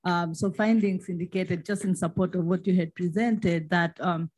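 A woman with a Tanzanian accent asking a question and commenting at a seminar, with hesitant, pause-filled phrasing. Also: on the low side, fairly even intonation, a little mellow. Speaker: social protection expert, audience member.

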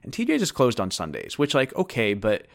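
A man's voice: medium pitch, gravelly. A young man in casual chat who restarts his sentences and stumbles along. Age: young adult. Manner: halting, restarts often, lively.

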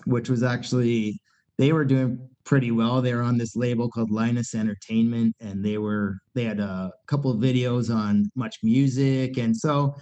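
A man's voice: mid-range voice, steady tone, moderate pace, mellow. A middle-aged man, a punk band singer talking as a podcast guest, rambling candidly with many fillers and restarts. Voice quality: nasal voice. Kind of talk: casual podcast conversation. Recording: dull lo-fi podcast recording.

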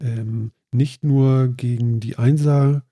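A man's voice: medium pitch, fairly even intonation, slow, warm tone, dry recording, clean studio sound. A man who sounds nasal from a stuffed nose, hosting a casual chat and rambling with many fillers.

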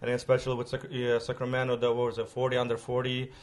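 Flat-toned casual podcast host man. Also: mid-range voice, quick pace, slight background noise. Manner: rambling and informal, with many fillers and restarts. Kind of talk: casual conversational interview.

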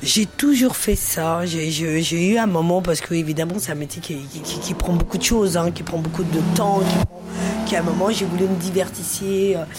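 A woman's voice: lowish voice, moderate pace. A woman from Paris who runs a restaurant, chatting in an interview with lots of energy, repeating words and restarting her sentences. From Paris, France. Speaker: restaurant owner. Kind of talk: casual interview chat.

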